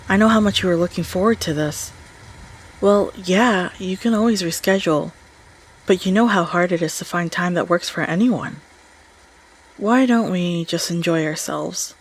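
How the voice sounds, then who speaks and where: A woman portrays a playful, caring female friend in an audio roleplay. She sounds casual and soothing, teasing lightly. Her voice is slightly husky and she takes her time. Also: lowish voice.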